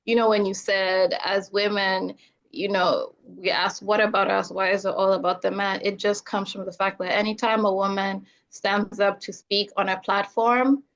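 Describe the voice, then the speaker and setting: A young adult woman from Zambia, a filmmaker being interviewed on a podcast, talking earnestly and getting animated when she quotes others. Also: medium pitch, moderate pace. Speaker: filmmaker guest. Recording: slightly dull lo-fi audio.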